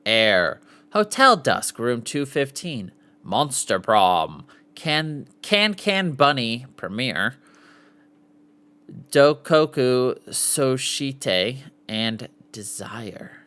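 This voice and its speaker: Casual male podcast host, slow and animated. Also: medium pitch. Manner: stumbles over foreign names, sounding them out. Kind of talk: casual live podcast, reading aloud from lists and articles.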